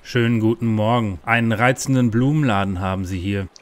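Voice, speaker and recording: A man's voice: fairly low pitch, steady tone, brisk, background noise, lightly acted. An actor playing an unhinged, paranoid conspiracy-minded man with stilted speech in a parody detective audio drama. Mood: stiffly polite. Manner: stilted politeness breaking into paranoid ranting. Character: unhinged paranoid conspiracy-raving man, first stiffly polite.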